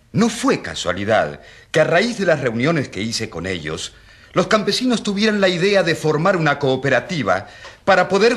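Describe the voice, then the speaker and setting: An actor playing an ironic, defiant rural priest, eloquent and emphatic, in a radio drama. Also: male voice, a little high, fairly bright tone.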